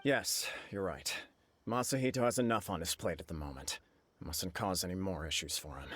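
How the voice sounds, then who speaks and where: A man's voice: sits low, fairly quick, a bit bright, dry recording. An actor playing a young male noble clan heir, earnest and weighed down by duty. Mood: self-reproaching. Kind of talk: game cutscene dialogue.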